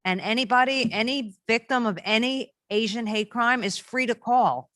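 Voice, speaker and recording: A woman's voice: a little high, moderate pace, fairly bright tone, no room sound, studio quality. A woman official at a community forum answering directly and reassuringly.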